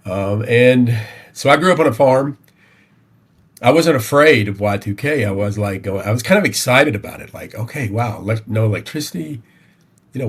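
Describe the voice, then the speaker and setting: Podcast guest: middle-aged Southern US man, lower-pitched, folksy and halting, recounting his life conversationally. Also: breathy edge. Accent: Southern American, Tennessee. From Tennessee, United States. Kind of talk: conversational personal storytelling.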